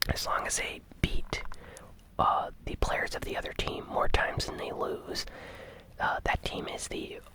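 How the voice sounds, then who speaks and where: A host giving ASMR gaming news commentary in a breathy, unvoiced whisper, soft, unhurried and informal.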